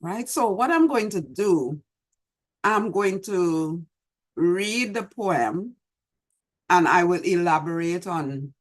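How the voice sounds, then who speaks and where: A middle-aged woman with a Trinidadian accent, hosting an online show and gently reminiscing as she reads her poem, recorded over a video call from a small room at home. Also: lowish voice, lively intonation, slow. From Trinidad and Tobago. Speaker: host. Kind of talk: reflective talk and poetry reading. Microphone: video call webcam.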